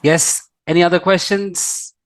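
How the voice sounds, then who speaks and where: A man's voice: high-pitched, steady tone, measured pace. A man moderating an online course session on a video call, politely taking questions with frequent hesitant fillers. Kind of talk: hosting an online Q&A.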